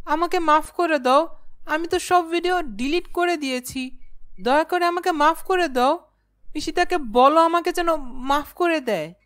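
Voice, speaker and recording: A high-voiced young male villain acted by a woman from a horror cartoon. He is a guilty coward, pleading and easily frightened. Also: normal speed, a bit bright. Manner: pleading, stammering when frightened. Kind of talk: dramatic horror cartoon acting.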